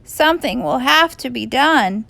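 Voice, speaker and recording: A kindly, puzzled schoolteacher lady, voiced by a woman narrator. She is high and lively, questioning with emphasis in storybook style. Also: a little slow, gravelly, dry recording, exaggerated voice. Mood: astonished. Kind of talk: children's storybook read-aloud.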